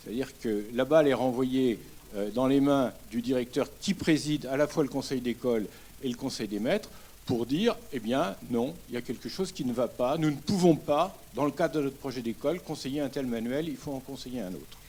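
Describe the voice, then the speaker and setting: Mellow-voiced man speaking from the floor. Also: mid-range voice. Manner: measured, explanatory, hesitant with frequent fillers. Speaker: audience member at a public debate. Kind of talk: public question from the floor.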